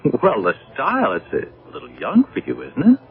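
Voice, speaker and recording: An actor playing an earnest, career-minded young husband, coaxing and exasperated, in a radio drama. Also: male voice, mid-range voice, wildly swooping pitch, moderate pace, vocal fry. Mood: awkward, placating. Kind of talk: 1980 radio drama acting. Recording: dull old radio broadcast, faint music.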